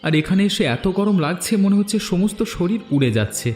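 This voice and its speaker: A man's voice: high voice, animated, fast talker, darkish tone. A man voicing a troubled, kindly village farmer in a fairy-tale cartoon, speaking earnestly. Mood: worried, earnest. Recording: echoey voice track with music.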